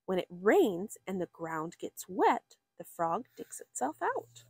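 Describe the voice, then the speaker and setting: A woman reading a children's nature picture book aloud with expressive, drawn-out emphasis for young listeners. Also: medium pitch, husky, no echo. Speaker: story reader for children.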